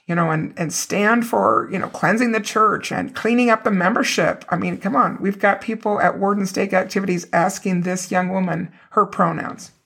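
Hoarse woman hosting a podcast. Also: mid-range voice, a bit bright. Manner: conversational, rereads and repeats quoted passages for emphasis. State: hoarse, raw voice. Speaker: podcast host. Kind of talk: casual religious podcast talk.

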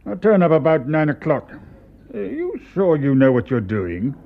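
An actor playing a cautious, genial English gentleman in a radio drama, polite and a little hesitant. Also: fairly high pitch, sing-song, normal speed, creaky, phone-quality sound, exaggerated voice. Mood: curious, wary.

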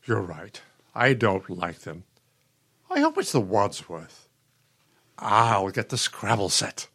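An actor playing a patient, nostalgic older husband in a radio play, gentle and reflective. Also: male voice, on the low side, huge pitch swings, slow pace, creaky, breathy edge, heavily acted. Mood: amiable, nostalgic. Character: patient, nostalgic elderly husband, soothing and wistful.

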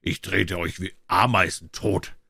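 A man as a gruff, grumpy huge giant. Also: low-pitched, fairly even intonation, a little slow, sharp tone, no echo, clean studio sound, full character voice. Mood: annoyed, threatening. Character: huge, grumpy, sleepy male forest giant, easily fooled. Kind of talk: fairy tale character voice.

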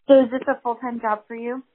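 A woman hosting a casual interview podcast, teasing and laughing often. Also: fairly high pitch. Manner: giggly, teasing. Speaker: podcast host. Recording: tinny phone-line sound.

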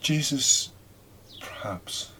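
A man giving a reflective homily, speaking deliberately with pauses and rhetorical questions. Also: bright, forceful tone, slight background noise. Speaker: preacher giving a scripture reflection.

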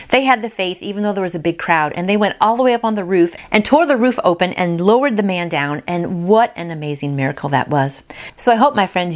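A woman hosting a devotional, reading and commenting in an encouraging, friendly way that speaks directly to listeners. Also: fairly low pitch. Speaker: devotional podcast host. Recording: voice over background music.

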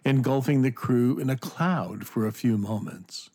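A man narrating a children's audio drama as an expressive, measured storyteller. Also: medium pitch, breathy edge.

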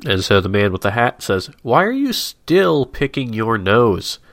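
A man's voice: medium pitch, sing-song, measured pace, a bit bright, no echo. A man co-hosting a casual podcast, stuffy-nosed, with a wry, rambling way of describing things and laughing easily. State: stuffy nose. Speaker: podcast co-host. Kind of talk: casual chat, describing a comic.

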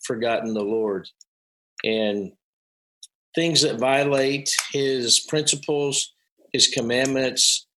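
A man, a pastor, speaking in a conversation, slow and deliberate, with some hesitations. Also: medium pitch, fairly bright tone, poor audio. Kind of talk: conversational interview.

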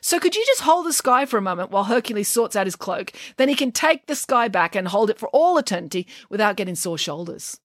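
A woman voicing a clever, practical young female sidekick who speaks briskly and sensibly, in a storybook reading. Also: medium pitch, very animated, dry recording, studio quality. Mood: wheedling. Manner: brisk, sensible, a little exasperated.

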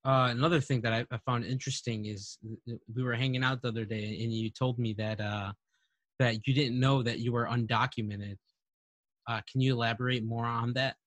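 A man's voice: mid-range voice, fairly even intonation, fairly quick, a bit bright, no echo. A young man interviewing a friend, asking friendly, rambling questions and saying "dude" and "man". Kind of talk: casual interview.

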